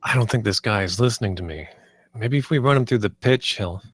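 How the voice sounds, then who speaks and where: A man's voice: fairly low pitch, fairly quick, dry recording, clean studio sound, lightly acted. A man playing an eager, nervous theme park designer in a script table read, sounding like a slightly hesitant salesman. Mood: frustrated.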